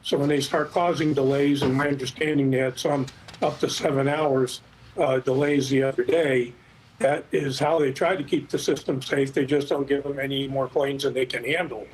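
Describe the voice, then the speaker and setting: A middle-aged or older man giving expert commentary in a news interview, explaining things in a measured, hesitant way. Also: medium pitch, fairly even intonation, slight background noise, poor audio. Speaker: expert guest, former air traffic controller.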